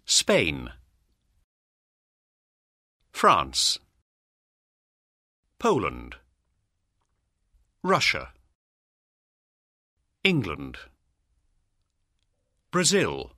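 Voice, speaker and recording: Deep voiced guy reading words one by one, super slow, swoopy intonation. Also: huge pitch swings, bright, forceful tone, no echo, studio quality. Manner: slow, deliberate, one word at a time. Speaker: course audio voice actor. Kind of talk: reading a word list for learners. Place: dry studio.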